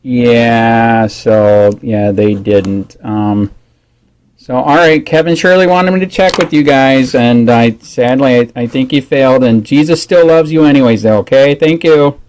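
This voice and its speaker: A man in a phone conversation, speaking as a caller disputing a rule in a halting, insistent way. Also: medium pitch, a little mellow, clean tone, low-quality recording.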